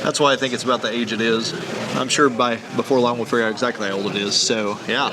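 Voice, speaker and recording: Man, medium pitch, casual co-host, halting explanations, unscripted chat, busy crowd behind. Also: steady tone. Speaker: co-host of an old-technology video show. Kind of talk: casual unscripted chat about vintage radios. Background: crowd chatter and outdoor bustle.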